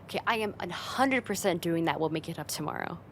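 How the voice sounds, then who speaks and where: A woman's voice: lowish voice, animated, fast talker, fairly bright tone, slight background noise. A woman playing a friend's teasing older sister in a casual, conversational ASMR roleplay spoken straight to the listener. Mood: playful, casual.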